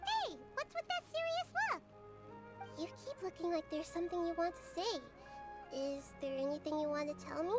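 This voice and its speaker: Casting: a tiny wise goddess in a video game, very high childlike voice, earnest and gentle, music clearly underneath. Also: female voice, expressive. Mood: bright, playful. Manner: thoughtful, articulate, measured. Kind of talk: scripted game dialogue.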